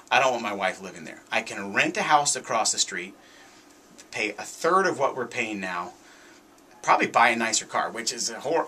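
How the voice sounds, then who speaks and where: A man with a bright, forward voice hosts a talk radio show. He speaks conversationally but emphatically, circling back to repeat his points. There is faint noise behind him. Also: mid-range voice. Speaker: radio show host, realtor.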